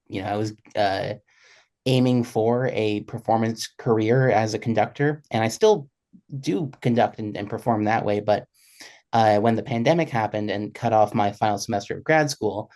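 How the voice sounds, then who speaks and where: A man's voice: fairly low pitch, darkish tone. A man talking casually as a panelist about his career as a music programmer for radio.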